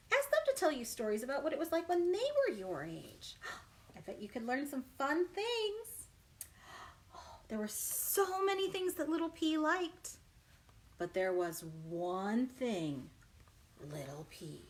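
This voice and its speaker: Very animated, high-voiced woman reading to children. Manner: animated, exaggerated, questioning the young listeners directly. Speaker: children's librarian. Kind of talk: children's read-aloud storytime. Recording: noisy livestream recording.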